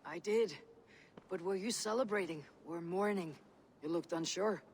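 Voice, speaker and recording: A woman playing a shrewd, loyal Viking warrior in a video game, speaking calmly and tersely as she probes. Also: on the low side, moderate pace, slight background noise, close to an everyday voice. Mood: probing. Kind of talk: video game dramatic acting.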